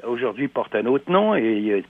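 Man, radio phone-in commentator, emphatic and halting, tinny dry line. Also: medium pitch, sing-song, no room sound. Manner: emphatic, repeats words while searching, many hesitations.

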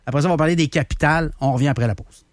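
A man's voice: fairly high pitch, rapid-fire, heavy background noise. A man with a Quebec French accent hosting a talk radio show, chatting loosely with lots of fillers. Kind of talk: casual talk radio banter. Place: radio studio.

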